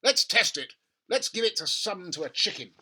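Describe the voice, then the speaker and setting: A storybook reader voicing an excitable, impatient middle-aged father with an urgent, exclaiming manner. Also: mid-range voice, normal speed, voice pushed up, heavily acted. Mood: gleeful. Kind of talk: children's storybook character voice.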